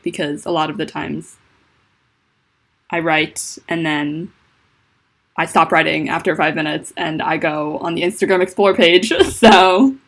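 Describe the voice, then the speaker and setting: Deep-voiced woman with a lisp. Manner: rambling and self-conscious, many fillers. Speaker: livestreaming fanfiction writer. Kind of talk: casual livestream chat. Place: at home indoors. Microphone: laptop mic.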